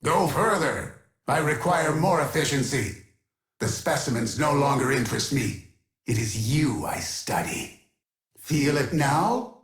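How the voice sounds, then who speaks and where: A man's voice: fairly high pitch, expressive, a little slow. A man voicing a cold, imperious keeper of a data sanctuary in a science-fiction game, formal, clinical and commanding, with echoing processing on the voice. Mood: intent, fascinated. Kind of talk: scripted video game character voice acting.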